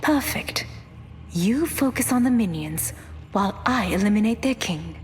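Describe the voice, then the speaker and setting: A woman's voice: mid-range voice, expressive, a little slow, faint music behind, close to an everyday voice. A woman as a resolute monster-slaying warrior. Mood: confident. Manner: formal, composed, purposeful. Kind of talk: video game character acting.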